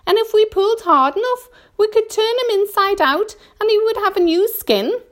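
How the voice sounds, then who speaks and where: A woman voicing a bright, eager male earthworm from a storybook, gloating in a sing-song way. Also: very high-pitched, expressive, normal speed, pitched above the performer's own voice. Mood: eager, gleeful.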